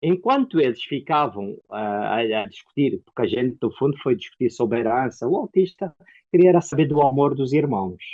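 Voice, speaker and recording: A man from Angola with an Angolan Portuguese accent, an actor and playwright answering interview questions in a lively storytelling way. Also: medium pitch, a little fast, muffled recording. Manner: animated storyteller, acts out quoted dialogue.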